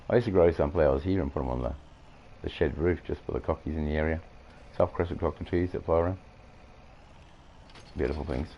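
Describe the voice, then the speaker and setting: A man with an Australian accent, croaky from coughing, rambling through farming-game commentary with many halting fillers. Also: extremely low pitch, lo-fi sound, slight background noise. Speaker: gameplay streamer.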